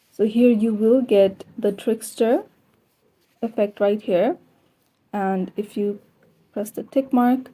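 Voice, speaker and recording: A woman's voice: mid-range voice, mellow, slightly rough. A woman narrating a step-by-step tutorial in a plain, instructional manner. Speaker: tutorial host.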